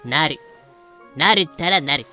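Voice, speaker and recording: Man voicing a breezy, bright-voiced female pirate captain. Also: a little high, measured pace, faint music behind. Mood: calm, insistent. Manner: breezy, self-assured feminine phrasing. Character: bold, easygoing, scheming female pirate captain Blackbeard. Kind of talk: fan-made audio drama acting.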